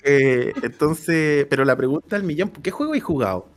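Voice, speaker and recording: A man's voice: fairly high pitch, expressive, normal speed. A man co-hosting a podcast, putting questions to a guest in casual chat. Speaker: podcast co-host. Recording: faint music behind. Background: faint music.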